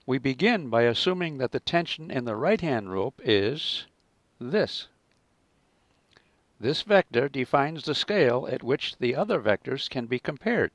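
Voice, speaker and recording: A man narrating a scripted physics lesson as a teacher, in a measured, explanatory manner. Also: mid-range voice, animated, no echo.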